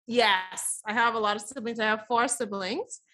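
Woman in her thirties, crisp bright tone, slightly high voice, chatty and quick to laugh. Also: steady tone. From the Bahamas. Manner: chatty, laughs often, lots of filler words. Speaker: guest artist. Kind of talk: casual podcast conversation.

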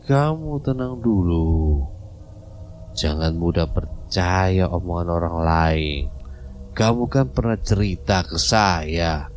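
Man putting on a stiff older mystic, low voice, curt, audio drama, faint noise. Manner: curt, Javanese-peppered speech.

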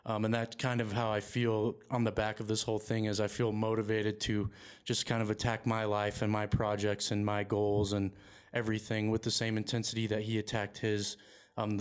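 Even-toned man hosting a rugby chat. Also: lowish voice, smooth. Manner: even, steady delivery with many fillers. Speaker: podcast host. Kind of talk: casual sports chat.

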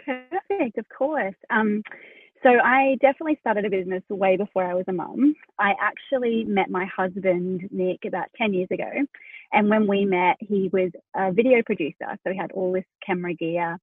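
A woman's voice: mid-range voice, normal speed, husky, dry recording, narrow, tinny audio. A pregnant Australian woman in her thirties, a wedding photographer, chatting as a podcast guest with lots of fillers and restarts. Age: 30s. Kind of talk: casual interview chat.

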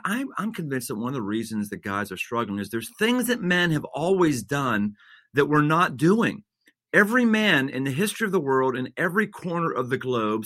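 A man's voice: mid-range voice, pushed and bright, no room sound, clean studio sound. A man, a senior pastor, talking in an interview in an earnest way, building into an emphatic preaching rhythm with repeated phrases. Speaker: senior pastor, guest author.

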